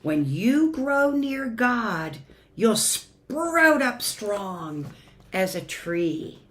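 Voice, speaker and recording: A woman reading a children's picture book aloud in an expressive, deliberate way, as a church storyteller. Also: on the high side. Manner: expressive, deliberate, shifting between emphatic and hushed. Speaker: church family ministry storyteller.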